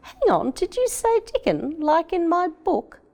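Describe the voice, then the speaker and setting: A woman's voice: extremely high pitch, wildly swooping pitch, unhurried, a little mellow, voice pushed up, full character voice. A woman voicing an eager, hopeful young boy with an Australian accent in a children's storybook. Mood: excited.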